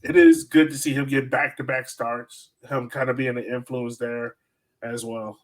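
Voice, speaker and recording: A man's voice: medium pitch, flat delivery, normal speed. A man hosting a soccer podcast, chatting casually about the game and putting questions to the others. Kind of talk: casual sports discussion.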